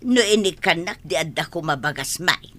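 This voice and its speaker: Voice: medium pitch, expressive, moderate pace, a bit bright, lightly acted. An actor playing a complaining villager in an Ilocano comedy radio drama, with an Ilocano accent. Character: villager reporting news to the village captain.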